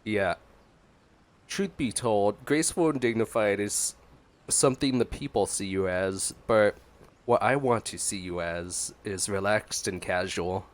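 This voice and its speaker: A man giving casual, halting gameplay commentary as a streamer, musing aloud and reading out dialogue choices. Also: fairly low pitch.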